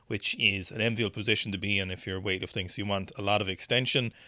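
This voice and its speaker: Male host, low-ish voice, casual chatty commentary, thinks aloud, dry close-miked sound. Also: steady tone, quick pace, pushed and bright, no room sound. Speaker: news show host. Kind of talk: casual video commentary on weightlifting clips.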